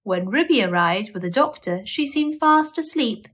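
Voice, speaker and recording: A woman's voice: a little high, expressive, moderate pace, fairly bright tone, husky. A woman storyteller reading a children's bedtime story aloud.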